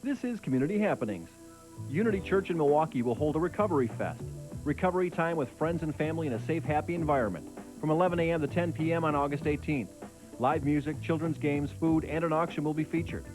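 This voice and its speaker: Higher-voiced male announcer over music. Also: fast talker, low-quality recording. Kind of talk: scripted announcement read.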